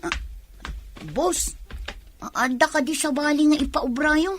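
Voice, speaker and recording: A woman's voice: high-pitched, fairly even intonation, takes it slowly, slight background noise. A woman playing a shy, tomboyish young factory worker with hesitant, bashful replies in a comedy radio drama. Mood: coy, pleased.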